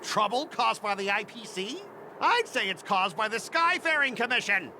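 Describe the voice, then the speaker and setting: An actor playing a quarrelsome, petty cargo-ship manager who complains indignantly. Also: male voice, sits high, very animated, normal speed, sharp tone, slight background noise, full character voice. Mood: grumbling. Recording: game dialogue over music. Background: game music.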